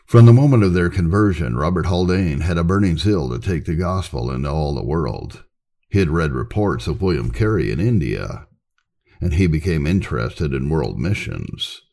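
A man with an extremely deep, gravelly voice reads religious history aloud. His delivery is solemn and emphatic, with pitch that moves in a lively way through each sentence. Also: moderate pace. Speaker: narrator reading church history. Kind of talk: scripted narration, reading aloud.

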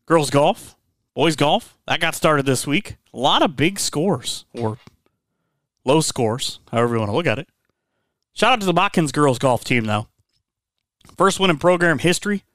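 A man's voice: fairly high pitch, expressive, moderate pace, pauses often, a bit bright, no room sound, studio quality. A man hosting a sports podcast, chatting in an easygoing, conversational way. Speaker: sports radio host.

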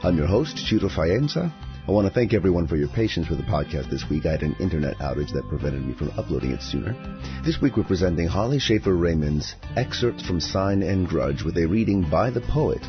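A man with a low voice delivers a scripted podcast introduction as host. Music plays clearly behind him, and the recording is somewhat dull and lo-fi. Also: big pitch swings, fairly quick. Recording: dull, lo-fi sound.

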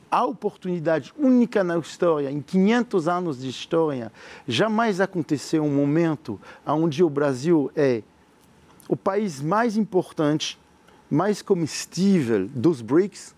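French-accented man, fairly high and mellow, earnest visionary talk full of fillers and stumbling restarts. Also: no room sound. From France. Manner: earnest, visionary, halting with many fillers and repeated words. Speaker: entrepreneur. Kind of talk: interview answers.

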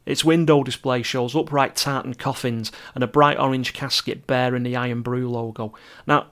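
A man's voice: mid-range voice, steady tone, moderate pace, fairly bright tone. Wry middle-aged man narrating a travel podcast. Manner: wry, self-deprecating. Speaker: podcast host and travel writer. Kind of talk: scripted travel narration with chatty asides.

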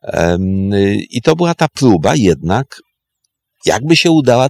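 A man explaining history off the cuff as a historian, emphatic and halting, often correcting himself and repeating phrases. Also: lowish voice, dry recording, studio quality. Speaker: historian, interview guest. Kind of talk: spoken historical explanation, unscripted.

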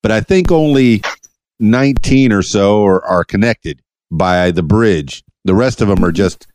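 Podcast host, a man from Miami; lowish voice that swings up and down, rambling and playful, dry close sound. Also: animated, a little slow, a little mellow, dry recording. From Miami, USA. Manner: rambling, stammering, playful questions. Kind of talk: casual interview.

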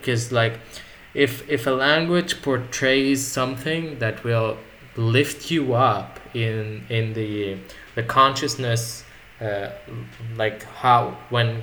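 Casual male guest, native Arabic speaker, mid-pitched, thinks aloud with lots of restarts, faint noise underneath. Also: a bit bright. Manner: rambling, reflective, many restarts. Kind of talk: casual conversation.

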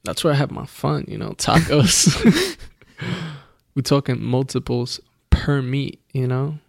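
A man's voice: mid-range voice, expressive, slow, gravelly, no room sound, studio quality. A man chatting casually as a podcast co-host, laid-back and slangy, laughing often. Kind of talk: casual chat. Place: Los Angeles.

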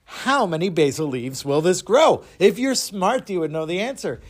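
A man voicing a mean young male bully with a loud, sing-song, mocking delivery in a told story. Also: sits high, sing-song, moderate pace, husky, heavily acted. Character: mean young man taunting a little girl.

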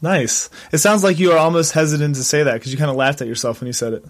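A man hosting a podcast, upbeat and energetic, with a stuffy nasal voice from a sinus infection. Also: fairly high pitch, fast talker, no echo. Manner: upbeat, energetic, frequent restarts. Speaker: podcast host. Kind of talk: podcast intro and interview. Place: Colorado.

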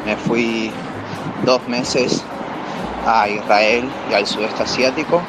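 A young man from Argentina with an Argentine accent, talking about his trip in a relaxed, casual way. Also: mid-range voice, slow pace, heavy background noise.

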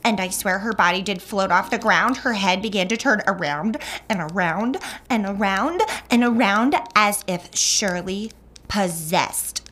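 A woman's voice: medium pitch, unhurried, fairly bright tone. A woman playing a prim, melodramatic Puritan gossip, falsely sweet and self-satisfied, in a comic audio drama. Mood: melodramatic horror. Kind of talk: comedic audio drama acting in archaic English.

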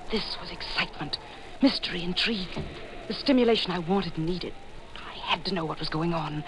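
A woman's voice: lowish voice, creaky, background noise. An actress playing an intense, thrill-hungry lady novelist, narrating dramatically in 1940s radio suspense style. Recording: old 1940s radio broadcast, music behind.

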